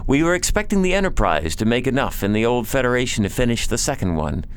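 A man's voice: medium pitch, very animated, quick pace, a little hiss or hum. An actor playing a nobleman's loyal friend, earnestly explaining bad news in a science fiction audio drama. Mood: surprised, worried.

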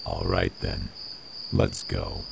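Man voicing a very gravelly low alien dad. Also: level tone, takes it slowly, full character voice. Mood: gentle. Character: anxious, apologetic alien father, protective of his family. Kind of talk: radio drama acting. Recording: noisy, distant, insect-like background hiss.

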